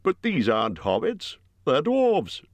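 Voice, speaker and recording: Man doing a rough booming bear-man host, higher and louder than his own voice, dry close sound. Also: huge pitch swings, a little slow, no echo. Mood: gruff. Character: gruff huge bear-man host, blunt and impolite.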